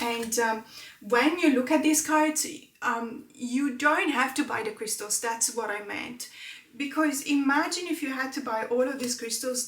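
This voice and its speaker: A woman's voice: on the high side, fairly bright tone. A woman whose first language is Italian, hosting a tarot channel and chatting to camera in a rambling way with many fillers. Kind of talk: casual talk to camera. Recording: roomy echo.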